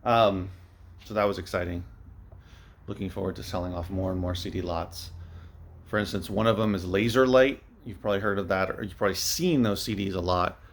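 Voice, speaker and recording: A man with a fairly low voice talks through a casual vlog update. He rambles in an unscripted way, thinking aloud as he goes, like a small-time reseller filling viewers in. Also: steady tone, fairly quick, a little hiss or hum. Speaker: vlogger, online media reseller.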